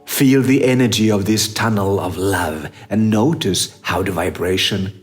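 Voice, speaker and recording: A man narrating a scripted guided meditation in a measured, instructive manner with long pauses. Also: lowish voice, faint music behind.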